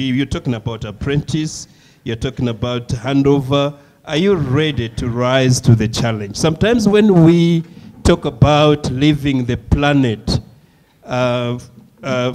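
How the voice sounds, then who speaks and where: Teasing panel moderator, faint room noise. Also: male voice, mid-range voice, darkish tone. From Southern Africa. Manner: teasing, provocative questioning. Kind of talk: panel discussion.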